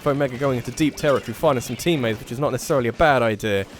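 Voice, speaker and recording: A man's voice: medium pitch, racing, slight background noise. A man casually commentating an online match he organised, slightly nasal, rambling and correcting himself as he calls the play. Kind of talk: casual live game commentary.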